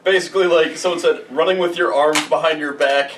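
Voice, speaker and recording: A man's voice: a little high, fairly even intonation, brisk. A man co-hosting a podcast with casual, jokey, sweary banter and read-aloud horror stories. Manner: jokey, sweary, frequent asides. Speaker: podcast co-host. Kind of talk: casual banter and creepypasta reading. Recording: distant mic, noisy background.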